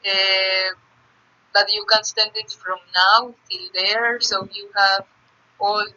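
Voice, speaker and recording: Bright teacher woman on lo-fi audio. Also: mid-range voice, flat delivery, slow pace. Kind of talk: online class explanation.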